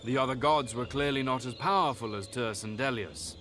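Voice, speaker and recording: A man playing a grave, weary elf knight in a fantasy game, speaking in a measured, formal way. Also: mid-range voice, bright, forceful tone, faint music behind, close to an everyday voice. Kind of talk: fantasy game character acting.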